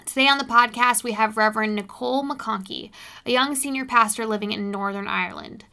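A woman's voice: a little high, brisk, bright, forceful tone. A woman hosting a podcast, reading an upbeat intro and asking interview questions. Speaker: podcast host.

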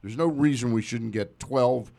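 A close, dry-sounding American man with a gravelly, creaky voice hosts a casual sports talk show. His pitch sits in an ordinary range, and he chats loosely, often checking details with his partner. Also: moderate pace, darkish tone, no echo.

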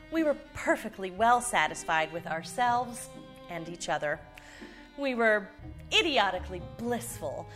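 An actress playing a genteel, wry married woman who narrates a light ghost story in a formal, expressive way. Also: fairly low pitch. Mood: wry, fond.

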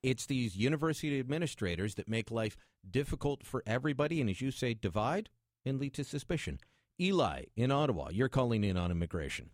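A man's voice: mid-range voice, expressive, a little fast, dry recording, clean studio sound. A man hosting a talk radio call-in show in a conversational, jokey manner.

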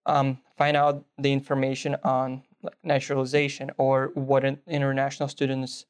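A man's voice: medium pitch, completely flat delivery, moderate pace, clean tone. Young man from belarus, russian first language, earnest, kinda hunts for words. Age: young adult. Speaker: guest, nursing student. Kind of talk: interview answers.

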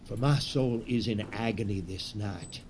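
An actor playing a fiery, proud, fanatical conspiracy leader in a period audio drama, with theatrical, declamatory delivery. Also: male voice, lowish voice, a little slow, a little mellow, a little hiss or hum, natural voice. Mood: grave, defiant.

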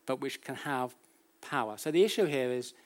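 Male British lecturer, mid-range voice, informal explainer style, halting delivery with self-corrections and rhetorical questions. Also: mid-range voice. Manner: conversational, checks in with rhetorical questions. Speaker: academic lecturer. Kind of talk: public lecture, explanatory and anecdotal.

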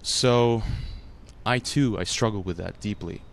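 A man's voice: lowish voice, unhurried, gravelly. A man, an actor whose first language is Russian, answering a panel interviewer in an earnest, emotional way, full of "you know" fillers.

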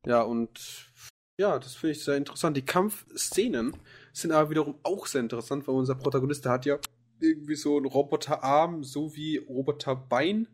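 A man's voice: on the high side, fairly quick. Rambling man chatting on a podcast. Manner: rambling, many fillers and restarts. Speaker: podcast co-host. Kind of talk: casual chat about anime.

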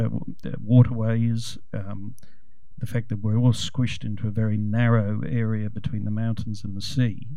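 A man's voice: lowish voice, vocal fry, mellow, no echo, poor audio. A man making an emphatic, formal and wordy comment from the audience at a public event, arguing in a rhetorical way. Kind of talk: impassioned argumentative public comment.

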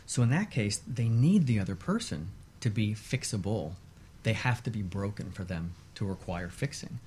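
A man speaking as an expert guest in a radio interview, explaining in a measured way with short repeated lists. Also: on the low side, lively intonation, a little mellow, a little hiss or hum.

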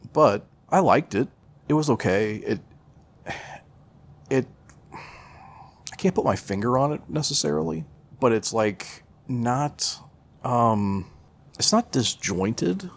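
A man's voice: medium pitch, lively intonation, takes it slowly, creaky, long pauses. A man co-hosting a casual film chat, feeling his way through his opinions with hesitant phrasing and some swearing. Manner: hesitant, searching for words, casually profane. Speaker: podcast co-host reviewing a film.

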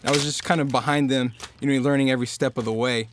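A man's voice: mid-range voice, quick pace, background noise. A man from Norfolk, Virginia, a singer-songwriter guest talking casually on a podcast, loose and rambling with lots of fillers. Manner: relaxed, rambling with many fillers and restarts.

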